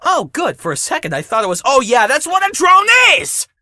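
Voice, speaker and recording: A woman playing a grumpy, sarcastic and excitable young male hero in an audio drama. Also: sits high, fairly quick, dry recording, studio quality, full character voice. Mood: alarmed, incredulous.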